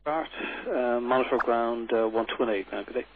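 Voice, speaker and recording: A man working as an air traffic controller, reading out clearances over aviation radio. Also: medium pitch, moderate pace. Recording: narrowband radio with loud static and clicks.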